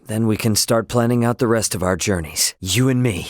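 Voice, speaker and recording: A man's voice: on the low side, moderate pace, no echo, clean studio sound, lightly acted. An actor playing an easygoing, cocky young male starship pilot and swordsman in a video game, with breezy, slangy, informal delivery. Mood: reflective, earnest.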